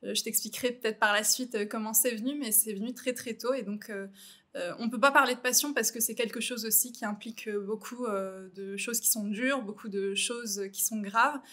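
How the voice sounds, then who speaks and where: A woman's voice: fairly high pitch, fairly even intonation, fairly quick, pushed and bright. A woman from Normandy with a French accent, speaking as a podcast guest in a casual, reflective and explanatory way, often rephrasing as she goes. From Normandy, France. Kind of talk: casual conversational interview.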